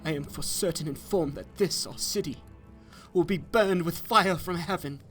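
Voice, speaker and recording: A man's voice: on the high side, moderate pace, a bit bright, soft background music, voice pushed up, natural voice. A man voicing a distressed, burdened man in rags who pleads and despairs in archaic language, in a dramatic story reading. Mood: anguished. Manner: anguished, pleading, archaic diction.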